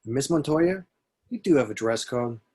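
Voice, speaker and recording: A man's voice: medium pitch, lively intonation, normal speed, a little mellow, husky. A man playing a stern, skeptical police captain in an amateur table read. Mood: stern, dismissive.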